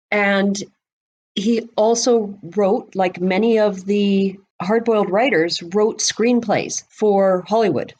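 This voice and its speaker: A woman's voice: mid-range voice, lo-fi sound. A woman with a mid-range voice talks as a guest expert on a podcast. She explains at length in an informal, conversational way, sounding at home with her subject. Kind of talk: conversational explanation of literary history.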